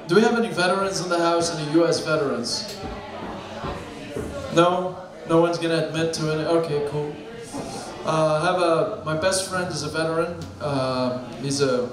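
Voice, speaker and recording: A young man doing a deadpan stand-up set with dark jokes on a bar stage, talking into a handheld mic. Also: a little high. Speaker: stand-up comedian. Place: small bar stage with a live crowd. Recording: echoey room with loud crowd.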